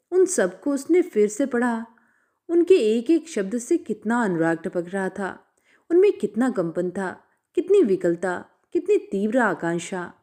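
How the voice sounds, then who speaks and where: Woman storyteller, slightly high, mellow tone, expressive measured narration.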